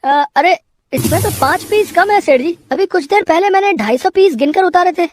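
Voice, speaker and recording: A woman's voice: very high voice, expressive, moderate pace, slight background noise, voice pushed up. A woman voicing a sly male shop worker in a cartoon moral story, ingratiating and pleading. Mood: anxious, sly. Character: sly young male shop worker, eager to blame others.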